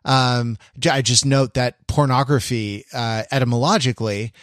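A man of medium pitch chats as a podcast co-host. He feels his way through sentences, restarting and pausing often. The sound is dry, with no room around the voice. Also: steady tone, fairly bright tone, no echo. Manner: hesitant, halting delivery with repeated false starts. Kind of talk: casual conversational podcast chat.

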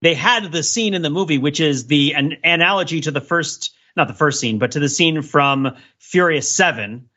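A man's voice: fairly high pitch, normal speed, few pauses, pushed and bright, lo-fi sound. A man co-hosting a podcast, casually discussing films with jokey mock-serious analysis. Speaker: podcast co-host.

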